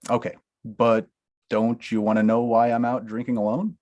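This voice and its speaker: A man's voice: medium pitch, flat delivery, moderate pace, a little mellow. Eager, teasing man stumbling over lines. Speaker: actor in a table read. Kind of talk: script table read, playing a nosy friend.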